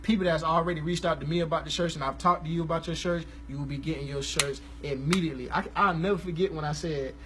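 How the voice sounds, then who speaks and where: A man, hosting a casual live stream about gospel music, talking in a relaxed, rambling, slangy way. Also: fairly high pitch, fast, hardly any pauses. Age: 20s.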